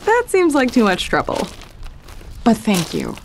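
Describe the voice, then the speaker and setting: A woman's voice: a little high, wildly swooping pitch, measured pace, very noisy, full character voice. A woman playing a defiant, sensitive schoolgirl who is new in town, in an audio drama, with an earnest, hesitant delivery. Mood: warm, shy.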